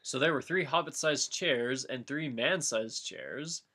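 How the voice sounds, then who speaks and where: A man's voice: medium pitch, measured pace, sharp tone. A man doing a comic read-aloud of a story, breaking off into casual banter. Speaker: reader of a fan-fiction dramatic reading.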